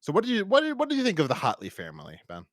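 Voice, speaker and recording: A man's voice: sits high, huge pitch swings, very fast, no room sound, studio quality. A man hosting a podcast, talking casually in a rambling, opinionated and emphatic way as he reviews. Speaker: podcast host.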